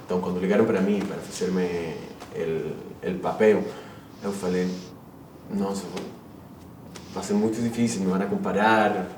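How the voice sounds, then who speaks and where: A low-voiced young man from Chile answers interview questions, his Portuguese sprinkled with Spanish words and carrying a Chilean Spanish accent. He sits back from the mic in a roomy space with a faint hiss underneath. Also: steady tone, normal speed, a little mellow, distant mic. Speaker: actor.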